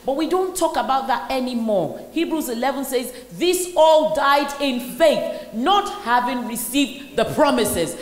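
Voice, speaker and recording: Nigerian woman host, animated and laughing, long hall reverb. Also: high-pitched, unhurried, hardly any pauses. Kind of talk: lively onstage conversation. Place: large hall. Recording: echoey hall with faint noise.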